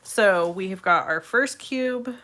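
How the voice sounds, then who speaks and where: Bright-voiced, slightly raspy woman giving craft tutorial. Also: mid-range voice, slow. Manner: friendly direct address to viewers. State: congested, irritated throat from allergies. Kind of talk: casual step-by-step craft demonstration.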